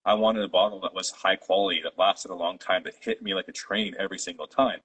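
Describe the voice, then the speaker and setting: Low husky-voiced man. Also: fast talker, muffled recording. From Canada. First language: Polish. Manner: steady, detailed storytelling. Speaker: guest, company founder. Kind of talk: interview.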